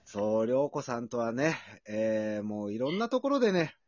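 A man hosting a casual podcast chat, talking in an animated way that mixes casual and polite speech. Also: mid-range voice, normal speed. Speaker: host; music creator and sound engineer. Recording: roomy, dull lo-fi sound.

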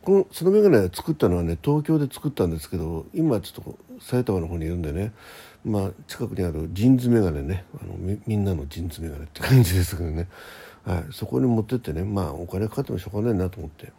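A man's voice: low voice, lively intonation, fast, dark tone, slightly rough. A man hosting a casual solo radio talk in a rambling, conversational manner. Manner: rambling, conversational, acts out quoted exchanges.

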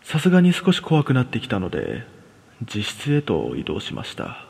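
A man's voice: mid-range voice, huge pitch swings, moderate pace, vocal fry, darkish tone, husky. Man narrating horror in cavernous reverb. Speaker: ghost story narrator. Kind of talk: scripted horror storytelling.

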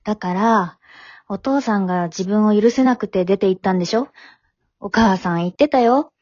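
A woman plays a high-school daughter in an amateur radio drama, plain-spoken and teasing but forgiving. Her voice sits at a middle pitch, recorded very dry and slightly muffled. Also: moderate pace, darkish tone, no room sound, lightly acted. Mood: frank, reproachful. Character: frank, forgiving teenage high-school daughter, gently chiding.

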